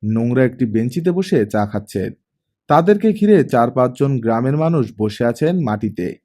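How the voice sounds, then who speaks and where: A man reading a story aloud as an expressive audiobook narrator. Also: mid-range voice, normal speed. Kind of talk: expressive scripted story narration, voicing the dialogue.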